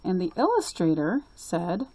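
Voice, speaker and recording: A woman reading a children's story aloud as a storyteller, with deliberate, expressive phrasing. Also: lowish voice, slightly rough, no room sound, rough recording. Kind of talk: expressive picture-book read-aloud.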